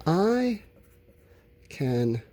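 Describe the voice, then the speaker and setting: A man's voice: a little high, big pitch swings, very slow, warm tone. A man teaching a school lesson, talking informally and explaining as he writes out answers, drawing out his words. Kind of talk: instructional lesson, thinking aloud while writing answers.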